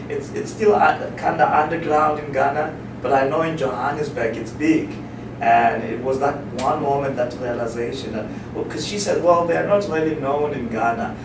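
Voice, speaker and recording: Filmmaker, halting panel talk, distant and roomy with noise behind. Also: male voice, medium pitch, vocal fry. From South Africa. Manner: hesitant, many restarts and fillers. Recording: echoey room, distant mic, steady noise.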